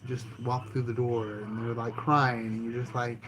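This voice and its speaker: A man hosting his own channel, answering questions about himself in a calm, easygoing way, with many ums and restarts. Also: medium pitch, moderate pace. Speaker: channel host and author. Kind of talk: casual self-interview answering questions. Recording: noticeable background ambience noise.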